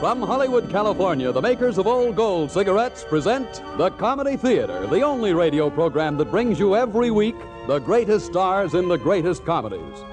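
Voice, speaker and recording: Old time radio announcer guy, really high animated voice, loud music under him. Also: fairly quick, hardly any pauses. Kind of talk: 1940s radio show announcing.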